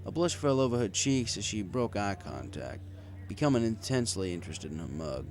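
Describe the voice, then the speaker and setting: A man with a fairly low voice reads first-person fiction. His delivery is wry and conversational, and his pitch moves up and down in a lively way as he tells it. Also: a little fast, soft background music. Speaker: story reader. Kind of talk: first-person scripted fan fiction narration.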